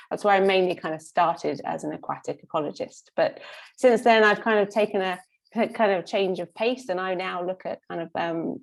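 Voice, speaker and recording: A woman's voice: lowish voice, vocal fry, low-quality recording. A British woman from the UK introducing her research on a video call, chatty and hesitant with many fillers. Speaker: panelist, aquatic ecologist.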